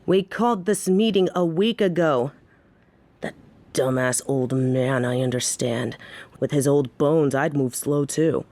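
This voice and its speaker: A woman's voice: deep voice, a little slow, mellow, no echo. A woman playing a menacing, short-tempered yet protective female mafia boss in a scripted audio roleplay, with a theatrical, brooding delivery. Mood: impatient.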